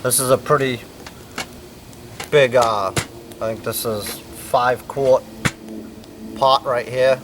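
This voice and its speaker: A man from the United States giving a relaxed, rambling outdoor cooking demonstration with many fillers. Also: mid-range voice, slow, long pauses. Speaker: bushcraft instructor. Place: outdoors at a campfire. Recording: distant mic, faint outdoor noise.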